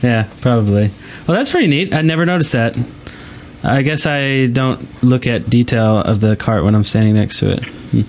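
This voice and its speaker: A man's voice: mid-range voice, moderate pace. A man co-hosting a gaming podcast in casual chat and reading out listener mail.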